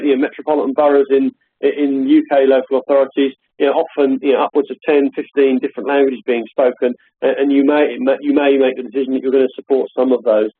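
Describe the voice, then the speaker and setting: A man giving a hesitant, filler-heavy software demonstration on a live call from his home office. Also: mid-range voice, husky, phone-quality sound. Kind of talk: live webinar product demo. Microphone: call audio.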